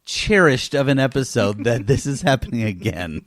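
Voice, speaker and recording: A man's voice: mid-range voice, lively intonation, moderate pace, no echo, studio quality. A man co-hosting a podcast, trading casual comedic remarks in conversation. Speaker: podcast co-host.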